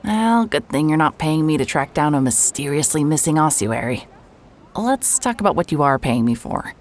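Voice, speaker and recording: A hard-boiled private investigator, played by a woman, with a very low voice. She talks in a dry, sarcastic way and fires off quick retorts. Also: animated, a little hiss or hum. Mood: wry. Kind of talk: audio drama acting.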